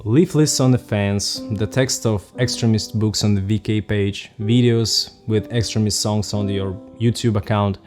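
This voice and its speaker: Low-voiced Russian man explaining. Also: fairly even intonation, a little slow, hardly any pauses, music in the background. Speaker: vlogger. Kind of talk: scripted explainer narration.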